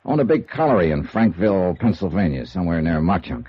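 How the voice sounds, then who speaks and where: A hard-boiled American radio detective, brash and wry, with a lowish voice that swings freely up and down. The sound is muffled vintage radio. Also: male voice, sing-song, quick pace, husky, full character voice. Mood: brisk, probing. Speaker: lead actor of a radio detective series. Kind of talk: 1950s radio noir acting and narration.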